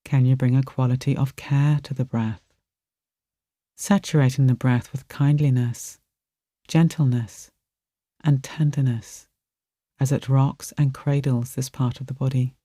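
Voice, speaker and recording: A mellow, warm voice leads a guided meditation. The delivery is gentle and soothing, with soft phrases given room to settle. The sound is dry and close, free of room echo. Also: mid-range voice, steady tone, unhurried.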